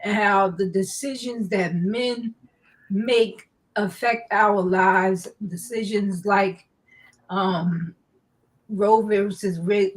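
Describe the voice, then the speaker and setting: A woman hosting a live online talk show, slow and halting with many fillers, her nose stuffy. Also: medium pitch, rough recording. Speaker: host.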